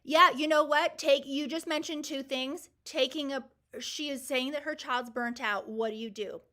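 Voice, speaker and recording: A woman's voice: sits high, normal speed, bright, forceful tone. A woman in her forties hosting a live Q&A, answering viewer comments in an enthusiastic, emphatic and reassuring way. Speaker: host.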